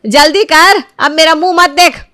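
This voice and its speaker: A high, dry-recorded voice of a bitter older mother-in-law, acted out by a woman. She scolds and orders people about in cartoon moral-story style, accusing and bossy. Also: a little slow, sharp tone, dry recording, full character voice. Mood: harsh scolding.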